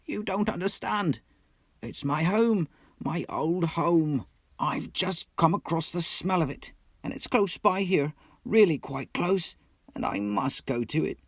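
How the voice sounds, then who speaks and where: A man voicing a small, anxious, homesick mole in a storybook, pleading plaintively and excitably. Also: fairly high pitch, a little slow, husky, no room sound, clean studio sound, voice pushed up, full character voice. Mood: anguished pleading.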